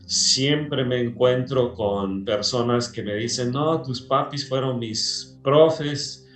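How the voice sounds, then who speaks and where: Pastor, man, slow, hesitant, roomy sound. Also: medium pitch, a bit bright. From Peru. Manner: slow, hesitant with many fillers. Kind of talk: casual interview chat.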